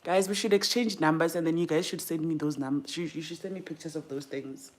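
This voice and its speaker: A young South African woman hosts a casual livestream in a slightly low voice. She is chatty and informal, thinking aloud as she goes and often letting sentences trail off before picking up a new one. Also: fairly quick. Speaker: livestream host. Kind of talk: casual chat while doing makeup.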